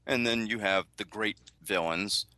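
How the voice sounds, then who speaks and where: Male panelist, very low but crisp-edged forceful voice, conversational analysis. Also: a little slow, dry recording. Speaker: podcast panelist.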